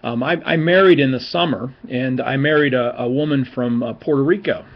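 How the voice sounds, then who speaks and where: A man's voice: medium pitch, brisk, muffled recording. A man in his forties, a seminary professor, giving an informal, encouraging recorded class talk with many fillers and restarts. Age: 40s. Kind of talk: recorded online class lecture.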